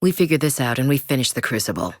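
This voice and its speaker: A woman's voice: a bass voice, very animated, fast talker, no room sound, studio quality, heavily acted. A woman playing a tough, candid young space marine with an American accent in a video game, speaking in a blunt, plain-spoken military way. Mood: candid, conversational. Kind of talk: video game character dialogue.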